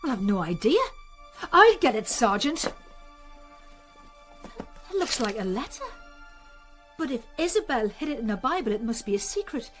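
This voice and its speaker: An actress playing a pious, prim, indignant older sister in a radio drama. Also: female voice, on the high side, big pitch swings, normal speed, pauses often, soft background music. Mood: flustered, guarded. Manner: prim, righteous, protesting.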